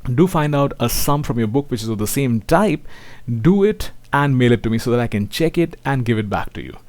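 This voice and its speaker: A man delivers an online maths lecture in a mid-pitched voice with a dry, close sound. He speaks straight to his students as a teacher would, often tagging his sentences with small checks for agreement. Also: lively intonation, fairly quick, darkish tone, no room sound. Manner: seeks agreement with frequent tag questions; addresses listeners as children.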